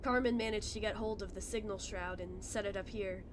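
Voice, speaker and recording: Woman reading as a quiet, fearful runaway android. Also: fairly even intonation, a little fast, background noise, natural voice. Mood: grim, resolute. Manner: quiet, low-key reading. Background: game music.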